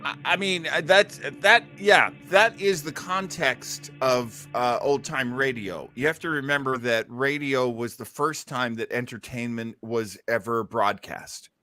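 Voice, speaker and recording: Bright-voiced man hosting a casual discussion. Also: mid-range voice, measured pace, few pauses, soft background music. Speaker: host of an old-time radio listening party.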